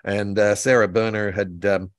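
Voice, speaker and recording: A man's voice: lowish voice, flat delivery, moderate pace, no echo, clean studio sound. Older man reminiscing in an interview. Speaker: guest author and voice actor. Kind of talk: enthusiastic anecdotal interview talk about old radio and cartoons. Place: indoor room at home, video call. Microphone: video call.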